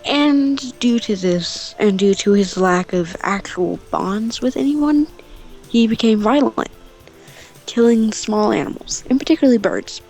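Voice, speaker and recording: A woman's voice: a little high, a little slow, darkish tone, soft background music, rough recording. A woman telling a story casually and off the cuff, rambling, with offhand asides. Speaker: storyteller on a gaming channel.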